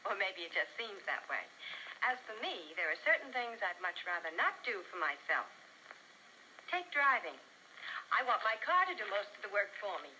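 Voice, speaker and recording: Lively woman in a vintage car commercial. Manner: chatty, demonstrative housewife pitch. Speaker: commercial spokeswoman. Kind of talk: 1950s television car commercial, scripted pitch. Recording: noisy vintage 1950s broadcast soundtrack.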